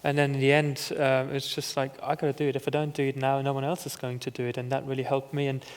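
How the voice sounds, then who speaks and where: A former footballer speaking as a guest on a conference panel, talking in a reflective, earnest way with many "you know"s. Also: male voice, mid-range voice, completely flat delivery, quick pace. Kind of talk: conversational panel talk. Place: conference stage.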